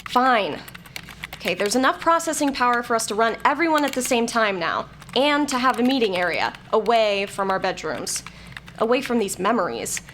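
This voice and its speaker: Blunt, bitter programmer, fairly high-voiced. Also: female voice, lively intonation, moderate pace, a bit bright, a little hiss or hum. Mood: brisk, businesslike. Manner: blunt, impatient, sardonic. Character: bitter, bossy elderly woman programmer, grimly sardonic. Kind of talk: scripted audio drama acting.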